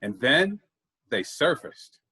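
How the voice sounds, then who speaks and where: A man playing a theatrical, teasing, husky magical spirit of sea and sky in a staged play reading. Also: on the high side, sing-song, slow, sharp tone, heavily acted. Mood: storytelling.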